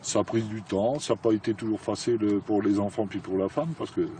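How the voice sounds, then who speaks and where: Older northern French man, retiree, hesitant halting chat over background noise. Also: lowish voice, fairly even intonation, dark tone, roomy sound. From northern France. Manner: hesitant, many pauses. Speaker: retiree interviewee. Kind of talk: casual chat. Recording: noisy ambient background.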